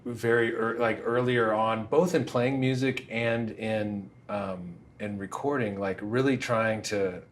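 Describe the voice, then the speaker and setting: A man hosting an interview podcast, relaxed and agreeable, chatting with his guest. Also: lowish voice, measured pace, hardly any pauses. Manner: relaxed, agreeable, backchannels a lot. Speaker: podcast host. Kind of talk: casual interview chat.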